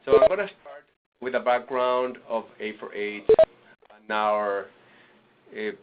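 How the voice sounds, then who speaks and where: A man from Venezuela, a nonprofit director, presenting a webinar with halting restarts and fillers. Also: medium pitch, very noisy. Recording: lo-fi webinar audio.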